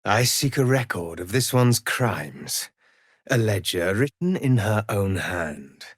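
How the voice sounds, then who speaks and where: Fantasy game necromancer judge: solemn, vengeful, menacing. Deepish, deliberate, archaic delivery, very dry close sound. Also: male voice, lively intonation, breathy edge, no room sound, heavily acted. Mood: solemn, persuasive. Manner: slow, formal, archaic, theatrical speech. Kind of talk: video game fantasy voice acting.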